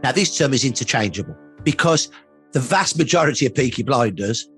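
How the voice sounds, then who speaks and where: A man's voice: medium pitch, lively intonation, a little fast, a bit bright, slightly rough. An older man with a Birmingham accent, explaining history emphatically as an expert in a documentary interview. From Birmingham, England. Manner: emphatic, storytelling, repeats points for weight. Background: background music.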